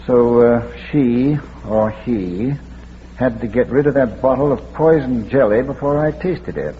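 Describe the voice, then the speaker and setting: An actor playing an erudite, wry amateur detective in a vintage radio mystery, with hesitant, pedantic phrasing. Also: male voice, mid-range voice, unhurried, close to an everyday voice. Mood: explaining. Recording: muffled, hissy vintage radio recording.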